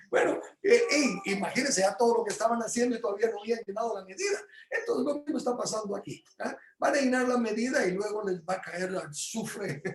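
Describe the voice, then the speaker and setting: A man teaching his home group about praise in a casual but animated way, jumping into loud exclamations and stumbling over fillers. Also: high-pitched, reverberant, rough recording. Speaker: Bible teacher.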